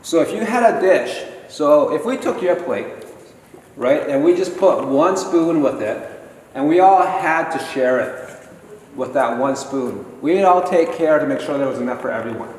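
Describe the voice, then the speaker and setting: A man giving an informal lecture to a gathering, talking slowly and deliberately and asking the audience questions. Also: a little high, animated, a little mellow. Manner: slow, deliberate, conversational. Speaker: guest speaker at a gala. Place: large hall. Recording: echoey hall from far off, faint noise.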